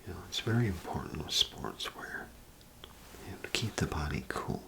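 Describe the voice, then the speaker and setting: A man doing an ASMR role play as a clothing salesman, with gentle, hesitant, unhurried patter. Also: sits low, expressive, creaky.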